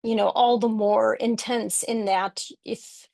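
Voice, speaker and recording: A woman from Chicago, presenting at a webinar in a measured, reflective way, with frequent pauses and fillers. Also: a little high, steady tone, vocal fry, mellow, no room sound. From Chicago, United States. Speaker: academic panelist.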